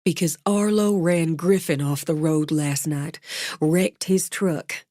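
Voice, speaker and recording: A woman's voice: sits low, slow pace, warm tone, no room sound, clean studio sound. A woman voicing an angry young woman who speaks bluntly and earnestly.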